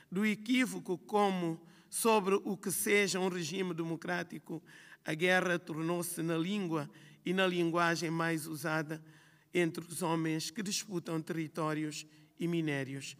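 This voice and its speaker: A woman's voice: lowish voice, level tone. Guinea-Bissau woman reading a speech in a hall. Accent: Guinea-Bissau Portuguese. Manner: measured, reflective, listing phrases in rhythm. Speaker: writer on a festival panel. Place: large hall at a literary festival. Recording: long hall reverb.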